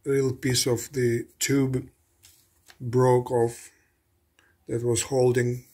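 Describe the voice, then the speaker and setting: A man casually narrating his hands-on repair work as a hobbyist, in a halting, matter-of-fact way. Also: medium pitch, level tone, darkish tone, slightly rough. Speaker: hobbyist radio restorer. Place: indoor home electronics workbench.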